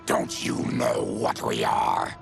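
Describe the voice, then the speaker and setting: Growly bitter monster colony boss, slow n gravelly, game cutscene w music under it. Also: male voice, lowish voice, huge pitch swings, full character voice. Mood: grim, bitter. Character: proud, embittered male disfigured mutant colony leader. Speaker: video game character voice actor. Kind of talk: dramatic video game cutscene acting.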